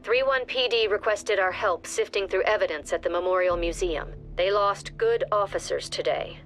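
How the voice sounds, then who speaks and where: A woman playing a stern, composed director of a security agency, giving formal, measured briefings in a video game. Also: mid-range voice, fairly bright tone, natural voice. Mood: grave, resolute. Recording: music behind the voice.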